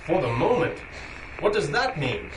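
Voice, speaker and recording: An actor playing a stern masked vigilante in radio adventure style, speaking in formal, commanding phrases. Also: male voice, on the high side, sing-song, normal speed, a little hiss or hum, poor audio. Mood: alarmed, urgent. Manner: commanding, formal, clipped interrogation.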